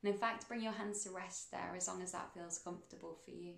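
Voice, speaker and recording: A woman guiding a meditation in a soothing, unhurried manner, with measured phrases and pauses between them. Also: lowish voice, steady tone, vocal fry, echoey room. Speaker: meditation guide. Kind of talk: guided meditation narration.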